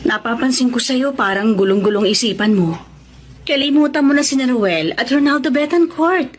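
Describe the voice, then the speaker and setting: An actress playing a practical, protective older sister, blunt and chiding, in a radio drama. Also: female voice, sits high, normal speed, slight background noise, close to an everyday voice. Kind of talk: Tagalog radio drama acting.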